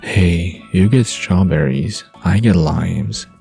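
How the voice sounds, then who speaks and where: A man's voice: deep voice, takes it slowly, vocal fry, dark tone, faint music behind, full character voice. A man playing an amused, teasing young man with a laid-back manner in a romantic audio drama. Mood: relaxed, dreamy.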